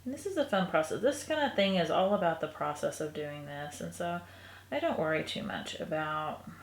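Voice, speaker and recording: A woman, sniffly, softly talking through a stitching project in a casual tutorial, often trailing into a half-whisper. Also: on the low side, moderate pace. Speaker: crafter showing a stitching project. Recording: echoey room recording.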